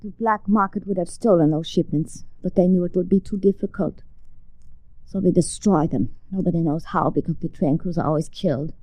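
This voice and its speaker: An actress playing a glamorous, earnest young woman resistance fighter in a 1940s radio drama, speaking with grave, deliberate formality. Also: fairly low pitch, warm tone, dry recording, natural voice, poor audio. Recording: restored 1940s radio recording.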